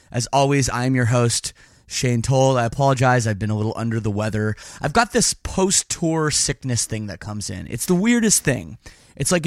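A man hosting a podcast, slightly hoarse, chatting casually with upbeat, rambling asides. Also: medium pitch, no echo, studio quality. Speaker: podcast host.

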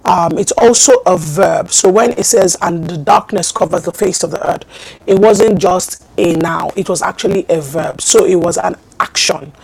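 A woman preaching a teaching sermon in a measured way, with frequent ums and repeated phrases. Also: on the low side, creaky, a little mellow, husky, no echo. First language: not Hebrew.

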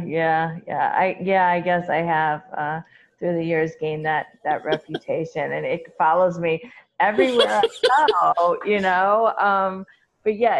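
A talkative woman with a loud voice, chatting as an interview guest and laughing often as she talks. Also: lowish voice, dull audio. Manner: chatty and laughing, with many restarts. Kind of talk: casual conversational interview.

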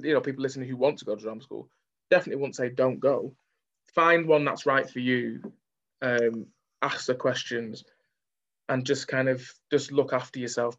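Casting: young Mancunian male, candid interview guest, conversational delivery, mid-pitched voice on muffled lo-fi audio. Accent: Manchester. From Manchester, England.

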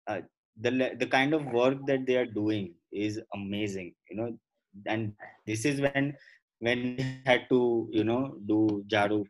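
Indian man answering haltingly, mid-pitched. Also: soft background music, rough recording. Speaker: podcast guest. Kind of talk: casual interview answers.

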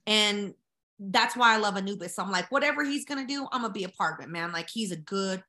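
Brash American woman, bright forceful voice. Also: mid-range voice, lively intonation, quick pace. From Colorado, United States. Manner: brash, slangy straight talk with swearing. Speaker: podcast guest. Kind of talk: casual, opinionated interview chat.

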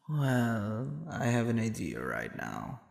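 Man playing a gravelly, suave demon boyfriend. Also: mid-range voice, darkish tone, echoey room, full character voice.

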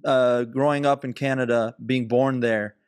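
A man hosting a casual podcast interview, talking in an animated way with lots of restarts and the odd swear word. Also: medium pitch, moderate pace, clear, resonant tone, no room sound. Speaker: podcast host.

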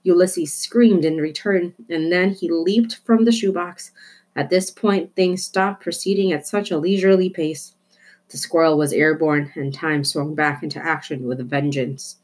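Woman reading a children's book aloud, lowish and breathy, playful emphasis. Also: normal speed. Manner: expressive storytelling, playful emphasis. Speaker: reader.

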